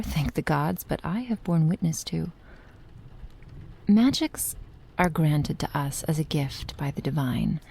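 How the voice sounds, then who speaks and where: A woman playing a possessive, doting witch in an intimate ASMR roleplay, speaking softly and unhurriedly, often slipping into a whisper. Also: low-pitched, gravelly, breathy edge, heavily acted. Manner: soft, unhurried, affectionate, often dropping to a whisper.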